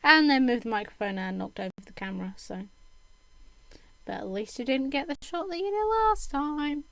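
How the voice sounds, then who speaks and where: A young woman streaming while she sews, talking hesitantly with many pauses and fillers, her throat a bit croaky. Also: high voice, lively intonation, fairly bright tone, dry recording. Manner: hesitant, lots of ums, self-deprecating laughs. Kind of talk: casual chat while sewing. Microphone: desk microphone.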